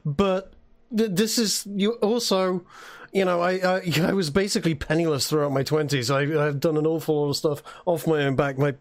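A Southern English man in his thirties with a voice slightly higher than most. As a podcast co-host he talks casually but stands firm, with emphatic, somewhat defensive phrasing. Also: fairly even intonation, moderate pace. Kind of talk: casual chat.

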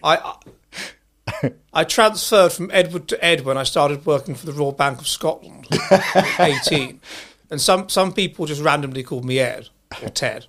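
A man with a posh English accent interviewing a guest, halting and repeating words as he works out his questions. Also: fairly high pitch, lively intonation, fairly bright tone. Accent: posh southern English. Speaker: host, interviewer. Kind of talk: casual interview chat.

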